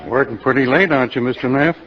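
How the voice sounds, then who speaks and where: An actor playing a kindly, concerned night watchman in a 1950s radio drama, with a husky voice. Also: mid-range voice, fast, soft background music, natural voice. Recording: old muffled radio recording.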